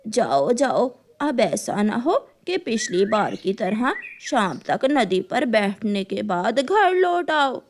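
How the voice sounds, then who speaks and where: A woman's voice: fairly high pitch, big pitch swings, slow, hardly any pauses, slight background noise, heavily acted. A woman voicing a scolding, sarcastic mother crow in a cartoon. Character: nagging, doubtful mother crow of a lazy daughter.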